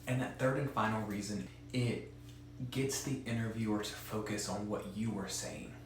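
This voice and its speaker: A man's voice: on the low side, fairly even intonation, normal speed, very echoey, a little hiss or hum. A man who stutters gives an earnest, direct instructional talk as a presenter for an advocacy group.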